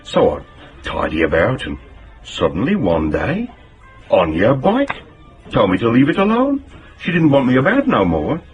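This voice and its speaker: Genial older English working bloke, radio drama, colloquial and halting, hugely varied pitch, music underneath. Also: male voice, huge pitch swings. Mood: rueful, puzzled. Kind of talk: naturalistic radio drama acting.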